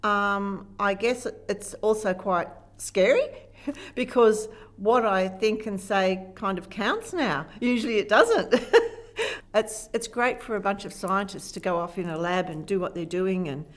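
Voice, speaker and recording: A woman's voice: mid-range voice, unhurried. A woman in her seventies with an Australian accent, chatting openly and laughing easily in a documentary interview. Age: 70s. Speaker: citizen juror.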